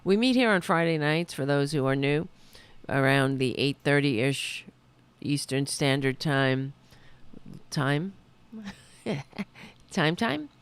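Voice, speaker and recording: A woman hosting her own liberal talk show, chatting loosely and correcting herself as she goes, a little hoarse. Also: sits low, animated, slow pace, long pauses. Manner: rambling, self-correcting, chatty. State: losing her voice. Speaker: podcast host. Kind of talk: casual political talk show.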